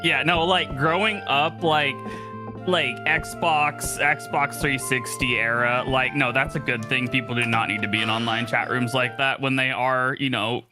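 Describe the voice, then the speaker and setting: A man's voice: medium pitch, steady tone, measured pace, over music. A man chatting casually as a guest on a game livestream. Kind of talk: casual chat.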